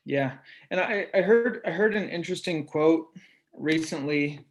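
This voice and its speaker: A man who stutters, often repeating words and breaking off sounds, as a guest in a relaxed interview conversation. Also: fairly high pitch, measured pace. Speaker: podcast guest, military college music director.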